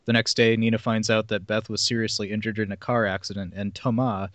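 Low-voiced man reading aloud, dry sound. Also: flat delivery, brisk, fairly bright tone, dry recording. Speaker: podcast host reading film synopses. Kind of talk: reading a plot summary aloud with casual asides.

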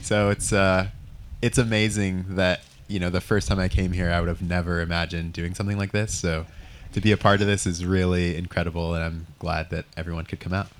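A man who races bikes professionally, introducing himself on a panel, slow and sincere. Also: deep voice, flat delivery, slight background noise. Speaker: pro racer, panelist.

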